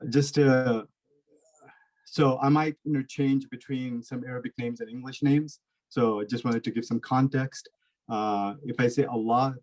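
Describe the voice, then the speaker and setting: A man from Kenya, the guest speaker, opening his talk politely and in a measured way over a video call. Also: medium pitch, darkish tone, poor audio.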